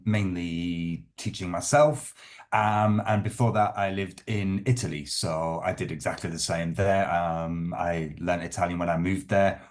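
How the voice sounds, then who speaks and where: A man who works as an English teacher, introducing himself in a relaxed, hesitant way with many ums. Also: low-pitched. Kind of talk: casual introduction.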